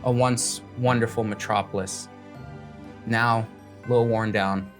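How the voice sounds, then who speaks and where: A man's voice: lowish voice, fairly even intonation, measured pace, soft background music. A man narrating a tabletop fantasy game as the game master.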